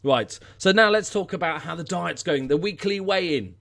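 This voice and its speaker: A man's voice: sits high, lively intonation, normal speed, gravelly, fairly bright tone. A man with a Scouse accent hosting a casual, unscripted vlog in an informal, wry way. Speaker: YouTube channel host.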